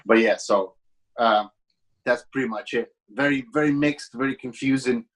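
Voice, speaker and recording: A man's voice: medium pitch, moderate pace. A man around thirty from Latvia, with a Latvian accent tinged with British, chatting as a podcast guest in a friendly, rambling way with lots of fillers and restarts. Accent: Latvian with a slight British tinge. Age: about 30. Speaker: podcast guest, business owner. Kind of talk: casual interview chat.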